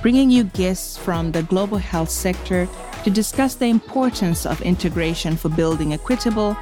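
Low-voiced woman hosting a podcast. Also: moderate pace, music in the background. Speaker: podcast host. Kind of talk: scripted podcast introduction and interviewing.

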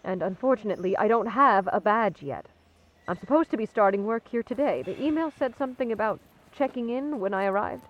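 A woman's voice: medium pitch, lively intonation, a little fast, dull audio, close to an everyday voice. A woman playing a polite, easily flustered new employee in a comedic audio drama, apologetic in manner.

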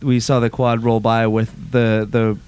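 A man on a casual podcast who teases the others with playful questions. He talks in an easygoing, conversational way, sometimes slowing down to land a joke. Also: mid-range voice, little pitch movement, a little mellow, clean tone. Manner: joking, asks questions while teasing. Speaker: podcast co-host. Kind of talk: casual banter.

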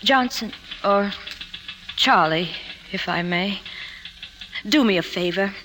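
An actress playing a poised magazine boss who is softening and finding confidence, in a 1950s radio drama. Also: female voice, medium pitch, slow, slight background noise, poor audio. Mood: earnest, wry. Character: woman magazine boss, poised, softening, newly self-assured. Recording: vintage 1950s radio broadcast.

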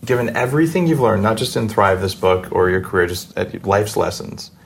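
A man hosting a podcast interview, talking in an informal, earnest and slightly halting way. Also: lowish voice, expressive, gravelly, slight background noise. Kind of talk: conversational interview.

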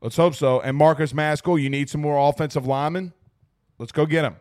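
A hoarse man hosting a casual sports talk show, excitable and emphatic, repeating lines for effect as he reads viewer comments. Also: on the high side, fairly quick.

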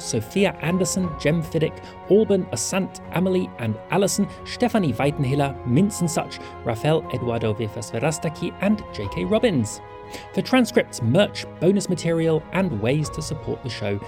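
A man presenting a podcast, reading scripted credits and thanking patrons over music. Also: on the high side. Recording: voice over clearly audible music.

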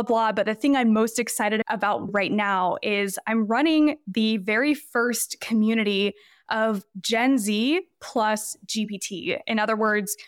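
Twenty-something woman on a podcast, highish voice, dry close sound, explains stuff in long examples. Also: clean tone, no echo. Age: 20s. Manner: animated, explains ideas at length with examples. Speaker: podcast guest. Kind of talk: casual interview talk.

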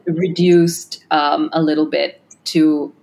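A woman's voice: low-pitched, level tone, slow, vocal fry, a little mellow, low-quality recording. A woman who grew up in Kenya and Australia, founder of a tech design firm, answering interview questions thoughtfully with many fillers and restarts.

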